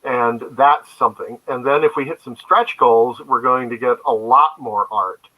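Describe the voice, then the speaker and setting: Male interview guest, mid-pitched and strikingly bright, halting conversational storyteller explaining his creative work. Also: low-quality recording. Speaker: guest, comic book creator. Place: remote online video call.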